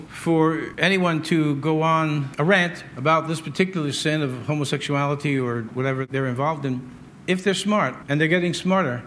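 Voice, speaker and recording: A man's voice: on the high side, fairly bright tone, slight background noise. An American man preaching a conversational sermon, emphatic, with repetition and rhetorical questions. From United States. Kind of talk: sermon, partly conversational with scripture readings.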